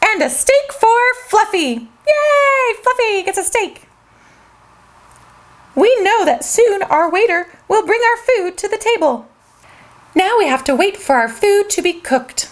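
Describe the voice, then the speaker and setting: A woman's voice: very high voice, lively intonation, unhurried. A woman hosting a children's show, reading a storybook aloud in a sing-song way and talking straight to the kids.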